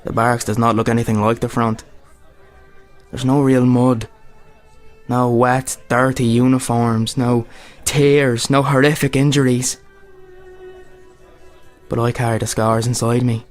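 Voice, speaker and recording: A man's voice: mid-range voice, little pitch movement, measured pace, long pauses, faint music behind, natural voice. A man with an Irish accent acting an earnest, plain-spoken Irish soldier in a radio play, in narration and dialogue. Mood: reflective. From Ireland. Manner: reflective first-person storytelling. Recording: faint noise and background ambience.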